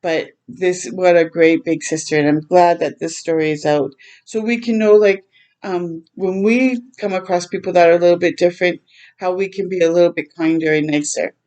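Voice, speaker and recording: A woman hosting a children's storytime, reading a picture book aloud in a gentle, expressive way with tender asides to listeners. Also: on the low side, moderate pace. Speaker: children's read-aloud host.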